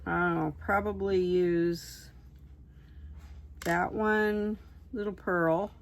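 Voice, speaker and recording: Female craft vlogger, hoarse voice, slow casual chat while working, some background noise. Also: on the low side, steady tone. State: hoarse, losing her voice. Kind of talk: casual chatty bead craft tutorial.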